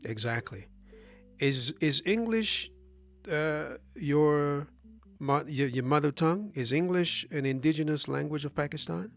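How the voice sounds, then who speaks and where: A man's voice: on the high side, expressive, unhurried, vocal fry, faint music behind. A man hosting a live stream in a casual discussion, talking emphatically, putting rhetorical questions to his listener and often restarting his phrases. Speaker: livestream host.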